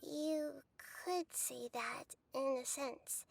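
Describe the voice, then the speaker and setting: Wise young woman guide, high voice, calm, measured, explanatory, scripted video game exposition. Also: creaky, a little hiss or hum, exaggerated voice. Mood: solemn, composed.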